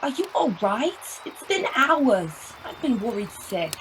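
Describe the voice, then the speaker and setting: A woman's voice: a little high, big pitch swings, slow pace, noisy background. A woman in an audio play acting a caring, resourceful wife who fusses kindly over everyone. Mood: worried, fussing. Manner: fussing, kindly.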